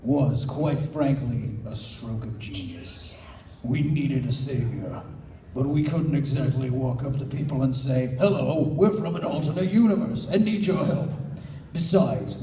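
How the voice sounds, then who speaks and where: A man's voice: mid-range voice, moderate pace, noisy background. Echoey, distant man reading fan fiction aloud. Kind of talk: live comedic read-aloud of fan fiction. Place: convention panel room, indoors. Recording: echoey convention room, distant mic.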